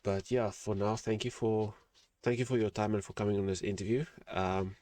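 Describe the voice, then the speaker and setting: A man whose first language is Afrikaans hosts a relaxed interview over a call. His voice sits very low, and he is agreeable and quick to back up his guest. He keeps the talk easygoing and conversational. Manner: friendly, agreeable, quick to laugh and affirm. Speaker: interview host.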